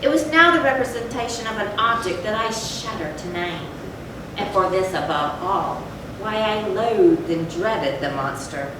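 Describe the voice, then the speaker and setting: A woman playing a guilt-tormented narrator of a gothic horror tale in a deliberate, theatrical stage recitation. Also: expressive, natural voice. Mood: loathing, dread. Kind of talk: dramatic readers-theatre recitation of a gothic story. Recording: very echoey stage, distant mic, noisy rumble.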